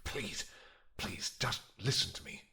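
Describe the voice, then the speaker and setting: A man's voice: measured pace, bright, forceful tone. A man playing a desperate, deranged older father, grim and pleading, in a dramatic fantasy audio roleplay. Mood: pleading, bitter.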